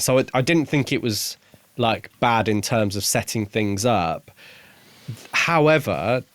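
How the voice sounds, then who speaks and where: A man's voice: fairly low pitch, no echo. A man co-hosting a podcast, discussing a book casually and hesitantly as he pieces his thoughts together. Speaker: podcast co-host reviewing a book.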